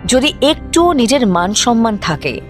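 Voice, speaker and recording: An actress playing a cold, bitter young girlfriend in an audio drama, speaking in deliberate, accusing phrases. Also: a little high, very animated, soft background music. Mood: cold, dismissive. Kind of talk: Bengali audio drama acting.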